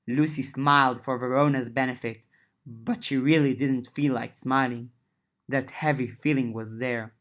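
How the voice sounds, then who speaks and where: A woman narrating an audiobook of a fiction serial, lifting her voice for dialogue and settling lower for narration. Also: steady tone, measured pace. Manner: reads dialogue with lifted pitch, narration lower and softer. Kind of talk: audiobook reading of a web serial.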